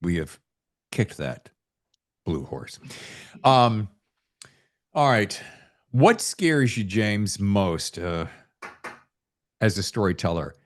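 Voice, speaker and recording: Male podcast host: lowish voice, highly animated, emphatic, conversational, voices imagined reactions. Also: takes it slowly, long pauses. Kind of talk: casual chat.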